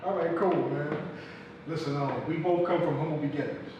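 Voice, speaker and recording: Guy hosting a chat, calls his guest brother, kinda high voice, far from mic with long room echo. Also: steady tone, normal speed, dull audio. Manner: friendly, brotherly slang. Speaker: interviewer host. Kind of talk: casual interview talk. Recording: echoey room, far from mic, light noise.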